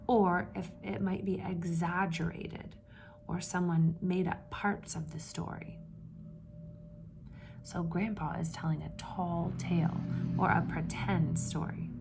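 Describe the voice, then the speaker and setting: A woman with a lowish, gravelly voice reads a children's picture book aloud. She reads with animated expression and drops into hushed asides to ask her young listeners questions. A faint room hum sits under her voice. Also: a little slow. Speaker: read-aloud storyteller for children.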